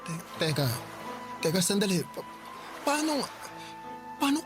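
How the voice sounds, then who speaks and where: A man's voice: high voice, big pitch swings, measured pace. A man voicing a nervous, stammering young man who has just learned he is going to be a father, in a radio drama over music. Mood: flustered. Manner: stammering, anxious. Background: music bed.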